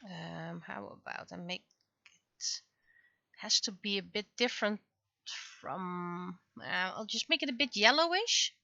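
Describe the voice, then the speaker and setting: Casting: woman, bright tone, casual streamer chatting in halting bursts while she works, very dry close sound. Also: medium pitch, animated, creaky, no echo, highs cut off. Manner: halting, thinking aloud. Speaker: livestreaming webcomic artist. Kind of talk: casual livestream chat while drawing.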